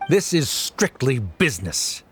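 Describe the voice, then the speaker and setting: A man's voice: mid-range voice, very animated, measured pace, fairly bright tone, breathy edge, soft background music, exaggerated voice. A man playing a stern, dismissive scholar and father in a video game, cold and imperious in manner. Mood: stern, impatient. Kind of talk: video game character acting.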